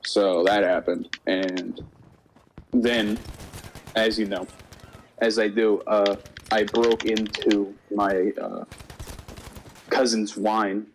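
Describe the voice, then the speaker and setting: A man's voice: lowish voice, level tone, slow pace, long pauses, slight background noise. A young man in casual conversation as a co-host, telling stories with swearing and joking banter. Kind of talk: casual storytelling chat over a game.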